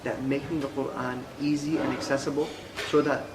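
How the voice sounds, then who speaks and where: A young man raised in the United States, answering interview questions in a measured, conversational way with frequent fillers. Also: medium pitch, little pitch movement. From Pakistan, raised in the United States. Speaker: interview guest, Quran instructor. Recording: echoey room with clear background noise.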